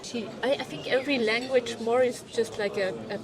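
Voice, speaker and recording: A woman from Switzerland, a film director, talking in a casual interview, halting and restarting as she searches for words. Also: on the high side, steady tone, poor audio. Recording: crowd chatter behind.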